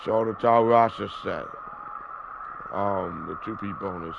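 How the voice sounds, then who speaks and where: A man's voice: fairly low pitch, a little slow, creaky. A man in his early thirties hosting a gaming video, stuffy and sore-throated from a cold, rambling with many fillers and correcting himself. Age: 30s. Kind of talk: casual gameplay commentary. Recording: dull lo-fi audio with background noise.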